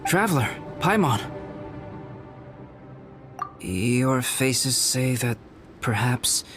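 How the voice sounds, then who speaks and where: An actor playing a calm, analytical young alchemist in a video game, speaking in a measured, polite way. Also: male voice, medium pitch. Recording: game audio with music.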